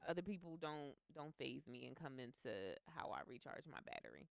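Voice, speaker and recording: A woman co-hosting a relaxed couple's chat show, outspoken and playful with lively slang. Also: low voice, brisk, gravelly, no echo, studio quality. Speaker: co-host, business teacher.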